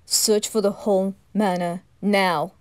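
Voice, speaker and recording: Woman plays icy aloof male butler, curt, slow, dry close recording. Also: medium pitch, fairly even intonation, no echo, close to an everyday voice. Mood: cool. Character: cold bespectacled male demon butler, aloof. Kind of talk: fan ASMR roleplay audio drama.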